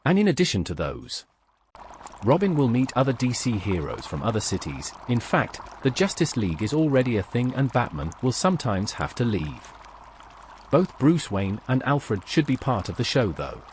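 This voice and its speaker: Lively male narrator of a scripted explainer. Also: mid-range voice, a little hiss or hum. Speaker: host of a pop culture story show.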